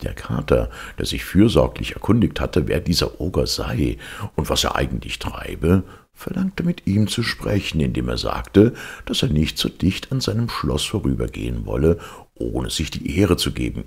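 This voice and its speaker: A man reading a fairy tale aloud as an expressive scripted narrator. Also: extremely low pitch, normal speed, creaky, husky. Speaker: narrator, audiobook reader.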